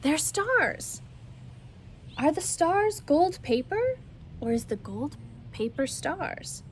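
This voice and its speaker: A woman's voice: high voice, very animated, slow, slight background noise, pitched above the performer's own voice, exaggerated voice. A woman voicing an eager, wondering young child in a storybook reading. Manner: eager, questioning. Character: curious, wondering young children, a sister and brother.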